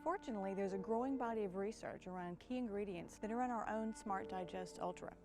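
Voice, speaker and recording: A woman's voice: medium pitch, fast talker. A woman voicing a scripted commercial in a polished, reassuring way. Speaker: ad voice-over. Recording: ad read over music. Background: music.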